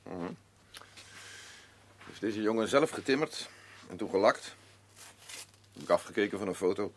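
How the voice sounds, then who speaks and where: An actor playing a gruff, defensive working-class father in grief, speaking blunt, halting, colloquial lines in a naturalistic radio drama. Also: fairly low pitch, expressive. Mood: gruff, matter-of-fact. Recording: clearly noisy radio play recording with ambience.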